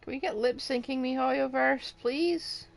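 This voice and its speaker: Female game streamer, slightly high pitch, offhand and wry, light music in background. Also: unhurried, vocal fry. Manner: dry, wry asides. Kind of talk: casual live game commentary.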